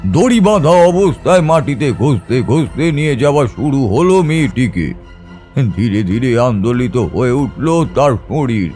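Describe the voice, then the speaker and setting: A man's voice: medium pitch, sing-song, a little slow, darkish tone, faint music behind. A man giving a dramatic, suspenseful scripted narration of a horror story in a theatrical manner.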